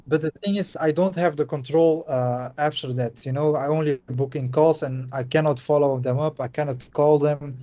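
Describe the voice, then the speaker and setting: Belgian guy, dutch accent, mellow voice, stumbles w/ lots of uh and you know. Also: fairly high pitch, steady tone, moderate pace, few pauses. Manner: hesitant, many "uh" and "you know?", restarts. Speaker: caller on a group call. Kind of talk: asking a question on a video call. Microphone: video call.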